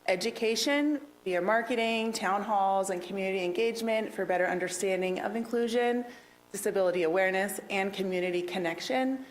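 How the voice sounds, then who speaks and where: A woman's voice: medium pitch, a little fast, poor audio. A woman who is a task force member, speaking earnestly and easily during a council work session presentation. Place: council meeting room.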